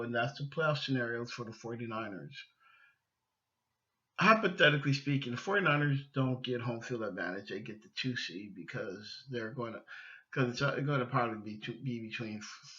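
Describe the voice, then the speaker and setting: Bright, forceful male sports podcaster. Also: medium pitch, lo-fi sound. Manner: emphatic, halting with repeated phrases. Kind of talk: opinionated solo sports talk.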